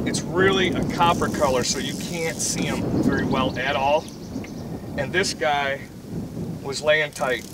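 Calm man, far from mic in wind. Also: fairly high pitch, a little slow. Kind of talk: casual explanation on a boat. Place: small boat on a lake outdoors. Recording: distant mic with loud wind and boat noise.

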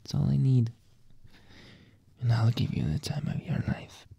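A young man doing a whispered ASMR roleplay as a teasing boyfriend, talking slowly in breathy whispers with soft chuckles into a close microphone. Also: mid-range voice, expressive, no echo.

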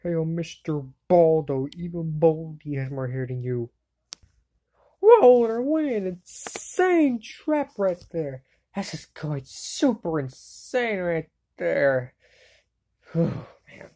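A woman's voice: sits low, big pitch swings, slow pace, warm tone, full character voice. A woman voicing a brash, cocky, hot-headed young sidekick in an anime fan dub, slangy, mouthy and exclamatory, on an amateur home recording. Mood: amazed, taunting. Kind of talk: anime fan-dub acting, solo voice clips.

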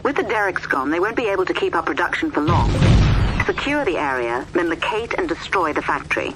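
Alert commander, high voice, very noisy clicking radio, brisk game orders. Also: male voice, sing-song.

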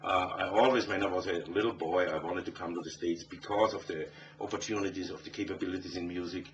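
A man's voice: deep voice, steady tone, fast. A middle-aged man from Austria with an Austrian German accent, a native German speaker answering conversationally as a musician in an interview. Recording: dull, distant, faintly noisy interview audio.

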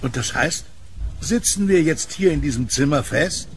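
An actor playing an anxious, alarmed man in a horror radio drama, halting and pleading in manner. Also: a little high, expressive, a little hiss or hum. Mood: wary. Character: anxious, alarmed man, devoted dog owner.

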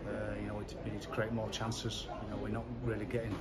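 A middle-aged man talks through a match in a low, very flat voice, stopping and restarting often. He stands in a covered stadium stand, and loud crowd noise carries behind him. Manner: measured, halting, many restarts and fillers. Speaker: football manager. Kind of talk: post-match interview.

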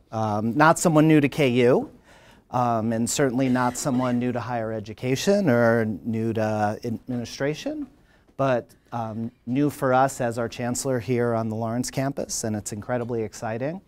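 A middle-aged man with a New Jersey accent stands before a lecture hall and introduces the next speaker. His delivery is earnest and animated, in a middle-pitched voice, picked up on a lapel mic. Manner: earnest, animated, gesturing while talking. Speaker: college dean introducing a speaker. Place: large lecture hall, indoors.

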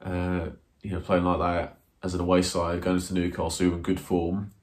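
A man sits in a small room and talks his team's match over to the camera. His voice is deep and level, with little rise or fall. He hesitates often, restarting his sentences. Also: completely flat delivery, normal speed. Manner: hesitant, many ums and restarts, earnest. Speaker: football fan reviewer. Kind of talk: post-match review to camera. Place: small indoor room, plain wall. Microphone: camera in front, seated.

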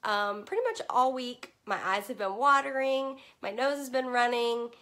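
A woman's voice: on the high side, expressive, normal speed, pushed and bright. Young woman from Virginia, chatty book host, voice going from allergies, webcam at home. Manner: chatty and upbeat, many "um"s, greets viewers by name. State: losing her voice from allergies. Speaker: children's book consultant and host. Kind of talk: casual chat to a live group. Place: home room in front of full bookshelves. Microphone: webcam at desk distance.

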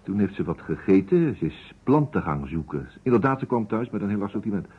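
An actor playing a courteous, precise private detective in a vintage radio play, musing deliberately and dropping in French phrases. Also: male voice, on the low side, big pitch swings, breathy edge. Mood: methodical. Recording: muffled phone-like old radio recording.

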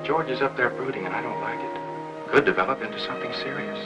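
An actor playing a concerned, persuasive family doctor in a 1950s film melodrama, with an American accent. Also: male voice, medium pitch, quick pace, highs cut off, loud music behind the voice, natural voice. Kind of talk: 1950s Hollywood melodrama acting. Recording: old 1950s film soundtrack.

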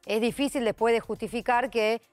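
A woman's voice: on the high side, fairly even intonation, normal speed, a little mellow, faint music behind. A woman, reasoning through a point as a studio news panelist.

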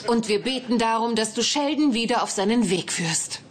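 A woman's voice: a little high, animated, normal speed, some chatter behind, close to an everyday voice. An actress dubbing a devout, bossy mother who scolds her grown son, over a noisy TV soundtrack. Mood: pious. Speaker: Sheldon's mother. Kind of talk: German TV dub. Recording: background noise under dialogue.

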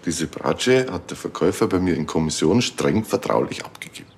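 A sly small-time shopkeeper from a TV crime comedy, with a lowish voice. He is unbothered and evasive, answering everything deadpan. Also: brisk. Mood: defensive. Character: sly, unbothered male bakery owner dealing on commission.

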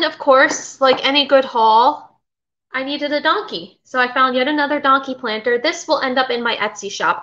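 Middle-aged woman chatting away on a live stream in her kitchen, high bright voice, kinda dull webcam sound. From Florida. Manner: chatty, rambling, reads out viewer comments. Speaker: vintage seller hosting a live haul. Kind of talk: casual live-stream chat. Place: home kitchen, indoors. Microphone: webcam at desk. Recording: slightly dull lo-fi webcam audio.

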